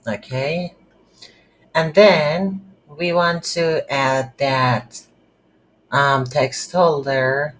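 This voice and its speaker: A tutorial instructor thinking aloud in a halting, unscripted way while demonstrating game development on a computer. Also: mid-range voice, steady tone. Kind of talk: unscripted screen-recorded software tutorial. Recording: background hiss, keyboard typing noise.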